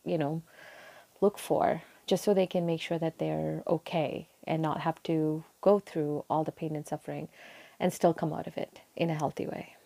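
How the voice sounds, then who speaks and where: A young woman from Sri Lanka, speaking softly as an interview guest and telling her story with frequent pauses and ums. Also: deep voice, little pitch movement, gravelly. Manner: soft-spoken, frequent ums, meandering. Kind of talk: casual personal storytelling.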